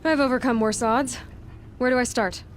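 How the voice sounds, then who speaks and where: An actress playing a determined outsider huntress in a video game, speaking in a direct, firm way. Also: female voice, on the high side, fairly even intonation, moderate pace, soft background music, lightly acted. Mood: wary, determined.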